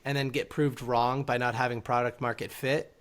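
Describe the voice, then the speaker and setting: A man answering casually as an interview guest over a video call, articulate and measured as he explains. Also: mid-range voice, level tone. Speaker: interview guest, author and entrepreneur. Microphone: speaking over a video call.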